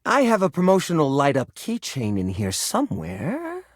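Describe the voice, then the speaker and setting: A man voicing a cheerful, fussy, nerdy household junk drawer in a video game, with enthusiastic delivery and mild, squeaky-clean exclamations. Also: huge pitch swings, normal speed, dry recording, studio quality. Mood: eager, helpful. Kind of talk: animated video game character voice acting.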